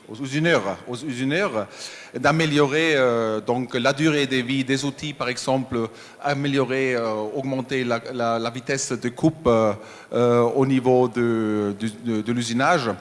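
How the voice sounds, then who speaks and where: A man who is not a native French speaker, giving a halting acceptance speech full of fillers and restarts. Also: mid-range voice. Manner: hesitant, many fillers and restarts. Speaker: award winner. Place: large exhibition hall. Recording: hall reverb.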